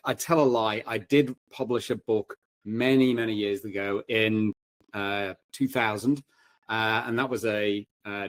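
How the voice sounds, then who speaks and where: A man telling anecdotes as a panel guest, informal and halting, often correcting himself as he goes. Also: on the low side, fairly bright tone, no echo. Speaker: panel guest, self-published author. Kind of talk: casual anecdotal chat.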